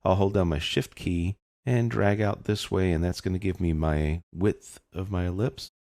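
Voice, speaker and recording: A man talks through a software lesson in a very low voice. There is plenty of fry in it, and the sound is dry and close. He explains each step informally, as an instructor would. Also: fairly even intonation, moderate pace, no echo. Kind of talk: step-by-step screencast lesson, casual.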